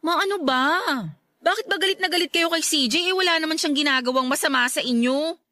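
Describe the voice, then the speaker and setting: A high, dry young voice: a grown daughter in a radio drama. She is respectful but stubborn, pleading earnestly and defensively. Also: fairly quick, sharp tone, no room sound. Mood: pleading, defiant. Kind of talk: Filipino radio drama acting.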